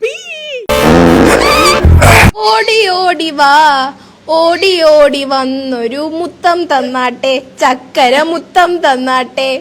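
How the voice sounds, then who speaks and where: An actress playing a playful singer of a children's ditty in a comedy clip, in singsong style. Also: female voice, high voice, extremely slow, long pauses, music in the background. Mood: playful, singing. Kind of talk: slow singsong children's song.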